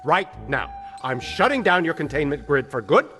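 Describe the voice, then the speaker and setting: A vindictive middle-aged official from a video game, pompous and full of scorn. The voice sits high and swoops expressively, ringing in a long reverb. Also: male voice, very animated, normal speed, bright, forceful tone, faint music behind. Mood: vindictive, outraged. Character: smug, vindictive middle-aged male government bureaucrat.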